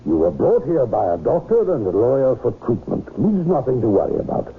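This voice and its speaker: An actor playing a falsely reassuring, sinister sanitarium doctor, patronising and unruffled, in old-time radio drama style. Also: male voice, mid-range voice, wildly swooping pitch, fairly quick. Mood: calmly patronising. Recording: noisy, tinny radio drama recording.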